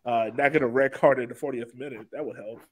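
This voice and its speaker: A man's voice: mid-range voice, fairly even intonation, fast talker. A man hosting a casual sports talk show, chatting in an informal, joking way. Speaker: podcast host.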